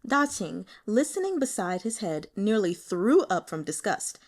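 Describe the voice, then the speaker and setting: A woman narrating a novel as an audiobook reader, in an expressive scripted style. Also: medium pitch, measured pace.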